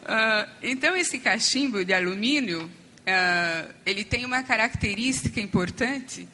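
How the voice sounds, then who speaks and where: A woman with a hoarse voice, close to losing it, gives a conference talk on treatment, explaining step by step with frequent fillers and restarts. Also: mid-range voice, expressive, a little slow, pushed and bright. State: losing her voice. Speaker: conference panel speaker on addiction treatment. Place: conference hall.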